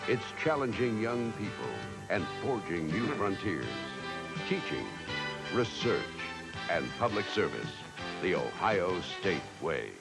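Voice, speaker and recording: Male announcer for a scripted eighties TV promo, measured delivery, lively intonation, loud music underneath. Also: low-pitched. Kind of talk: scripted university promo narration. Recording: 1980s TV spot with music bed.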